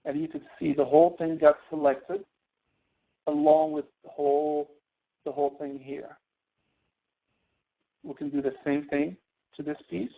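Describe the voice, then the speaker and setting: A man teaching a spreadsheet lesson online, explaining informally and a little haltingly. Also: a little high, flat delivery, gravelly. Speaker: instructor. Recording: tinny phone-like audio.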